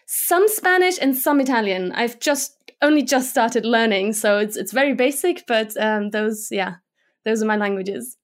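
A Slovak-born woman with an English accent talks casually as an interview guest. She is a young adult with a fairly high voice, and she chats along with plenty of fillers and restarts. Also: lively intonation, measured pace. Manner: chatty, with many fillers and restarts. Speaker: guest, motion graphics designer.

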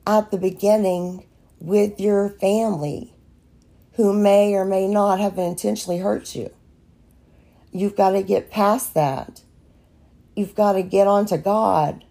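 A woman with a raspy voice from allergies hosts her own podcast in an unscripted devotional monologue. She talks slowly and earnestly, with a mellow, gravelly tone. She often circles back and restarts her phrases as she encourages listeners. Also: mid-range voice, steady tone. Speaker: podcast host.

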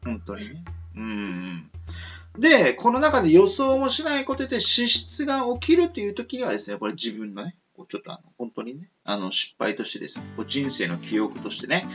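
A man's voice: medium pitch, wildly swooping pitch, fairly quick, a bit bright, breathy edge, faint music behind. A man hosting a casual radio chat, polite and rambling with many fillers. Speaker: radio show host.

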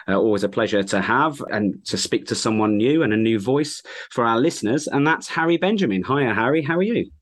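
A man hosting a podcast in casual chat, friendly and quick to laugh, with a croaky voice. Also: mid-range voice, lively intonation. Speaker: podcast host.

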